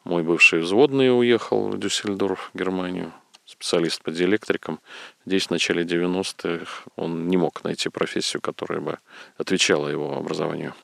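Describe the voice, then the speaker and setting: A middle-aged male interviewer with a very low, gravelly voice, talking in an easy conversational way and spinning long questions and anecdotes. The sound is dry and close to the mic. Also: fairly even intonation, normal speed, no echo. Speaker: interview host. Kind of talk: radio-style interview.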